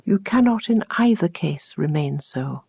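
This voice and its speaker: A woman voicing the mysterious desert sands in a storybook tale, calm, patient and certain as they instruct. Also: lowish voice, a little slow, gravelly, husky, no room sound, heavily acted. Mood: calm, knowing.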